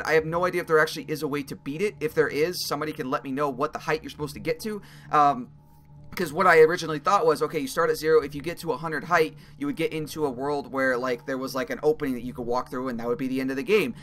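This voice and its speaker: A young man with a slightly raspy voice giving casual, excitable commentary while playing a game, speaking into a headset mic. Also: fairly high pitch, fast. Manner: excitable, swears and yells when he fails. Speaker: gaming video creator. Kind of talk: casual let's-play commentary.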